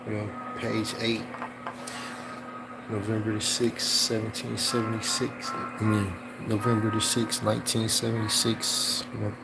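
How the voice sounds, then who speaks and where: A man reading aloud in a casual, halting way, repeating phrases and dropping in informal off-the-cuff comments. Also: on the low side, monotone, creaky, over loud music, rough recording. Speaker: host reading aloud from an old newspaper.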